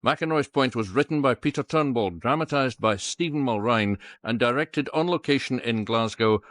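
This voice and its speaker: A man's voice: medium pitch, normal speed, fairly bright tone, no room sound, clean studio sound. A male announcer giving a scripted, formal reading in the manner of radio closing credits.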